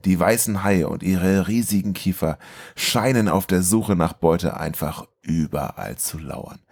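A man's voice: low-pitched, unhurried, vocal fry, a little mellow, husky. A man co-hosting a film podcast in a casual, chatty style. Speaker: podcast co-host.

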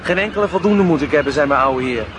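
A coarse, nonchalant village schoolboy acted by a man, with a fairly high teenage voice. He talks bluntly and offhandedly, full of cockiness, in a radio drama with clear outdoor noise behind him. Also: expressive, quick pace, lightly acted. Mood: sullen, boastful.

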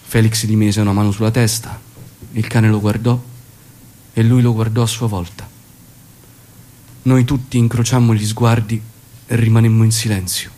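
An actor playing a commanding, shrewd guerrilla leader in a stage drama, speaking in a measured, authoritative way. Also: male voice, on the low side, flat delivery, mellow, natural voice. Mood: grave, reflective. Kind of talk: theatrical dramatic acting and monologue.

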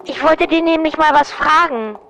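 A shy, flirting woman, played by an actress in a radio play phone call. Her voice is extremely high, buried in heavy, clicking line noise. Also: moderate pace, gravelly. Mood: tentative.

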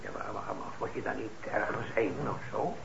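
An actor in a Dutch radio play playing a kindly, weary older instrument repairer, mild and hesitant, with a gravelly voice. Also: male voice, lowish voice, normal speed, a bit bright. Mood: hesitant, flustered. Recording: muffled, noisy old radio recording.

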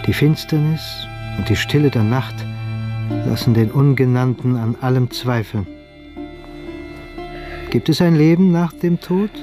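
A man narrating a historical radio play as a grave, measured storyteller. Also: mid-range voice, music in the background, close to an everyday voice. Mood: solemn, suspenseful. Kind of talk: scripted dramatic narration in present tense.

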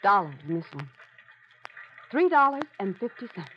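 An actress playing a determined, plucky newspaper reporter in an old-time radio drama. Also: female voice, mid-range voice, very animated, normal speed, slight background noise, close to an everyday voice. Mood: dismayed. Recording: dull old radio recording.

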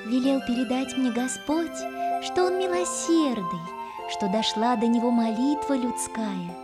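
A woman playing a humble little water droplet, a gentle heavenly messenger in a children's fairy-tale audio play, speaking in a solemn singsong. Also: fairly high pitch, natural voice. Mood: reverent. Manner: slow, solemn, singsong delivery of verse. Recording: music behind the voice.

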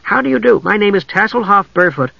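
Guy doing a chirpy little kender, fearless storybook chatterbox, high bright voice, bit muffled. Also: animated, quick pace, gravelly, no room sound, exaggerated voice. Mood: chatty, polite. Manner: eager, chirpy, rambling.

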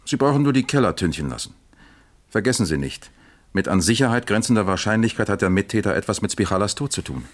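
A man's voice: fairly low pitch, expressive, quick pace, close to an everyday voice. A man playing a dry, commanding police captain in a German crime radio play, terse and businesslike. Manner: terse, businesslike, gives orders.

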